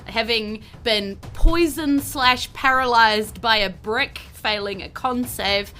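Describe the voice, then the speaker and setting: A woman with a New Zealand accent hosting a podcast as dungeon master in a lively, casual style. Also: on the high side, takes it slowly, slight background noise. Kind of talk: lively casual podcast intro and game recap.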